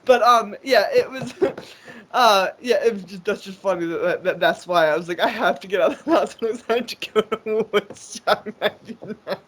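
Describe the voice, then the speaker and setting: A woman's voice: medium pitch, moderate pace, a little hiss or hum. A woman guest in a casual interview, talking in a rambling, profane way with lots of fillers and restarts. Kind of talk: casual conversation.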